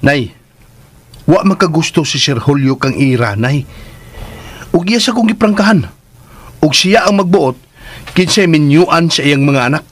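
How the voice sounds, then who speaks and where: A man's voice: a little high, measured pace, long pauses, slightly rough. An actor with a Filipino Cebuano accent playing a lovelorn, cheerful young man who chats expressively in a radio drama. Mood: earnest. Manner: expressive, chatty, talking to himself.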